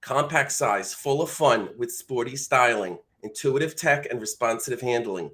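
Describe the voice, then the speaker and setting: A man's voice: medium pitch, lively intonation, measured pace, a bit bright. Philadelphia-accented male car-ad announcer, acted by a man, straightforward sales read. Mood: upbeat sales. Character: male Philadelphia local pitching a car commercial. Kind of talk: commercial voice-over read.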